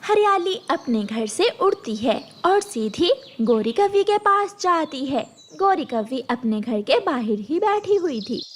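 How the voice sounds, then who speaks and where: A woman storyteller with a high voice and very animated melody. She narrates a scripted cartoon tale for children. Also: a little slow, a little hiss or hum.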